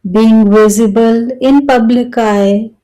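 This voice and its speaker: A woman guiding a meditation in a calm, soothing manner, speaking in short phrases with long pauses between them. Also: on the high side, low-quality recording. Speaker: meditation guide. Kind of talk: slow guided meditation narration.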